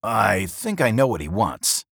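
A man's voice: on the low side, sing-song, unhurried, creaky, fairly bright tone, slightly rough, no echo, studio quality, voice pushed up, full character voice. A man voicing an earnest, tired male wizard in a fantasy audiobook, plain and a little hesitant. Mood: weary.

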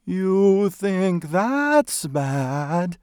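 A man voicing a complaining ghost in a storybook, softly and eerily. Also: sits high, sing-song, no echo, studio quality, pitched above the performer's own voice, exaggerated voice. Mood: aggrieved. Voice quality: soft, slow, eerie.

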